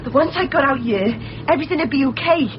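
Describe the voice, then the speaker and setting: A woman's voice: high voice, quick pace, background noise, close to an everyday voice. An actress playing an earnest, trusting woman in a 1990s radio drama, speaking with wistful feeling. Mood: wistful, hopeful. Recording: dull lo-fi radio broadcast copy. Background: music.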